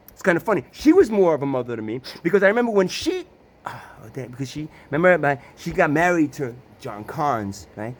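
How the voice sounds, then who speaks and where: A man telling a personal family story in casual talk, stumbling over restarts and repeated words, swearing often and tossing in sarcastic rhetorical questions. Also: a little high. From Italy. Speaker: storyteller recounting his family.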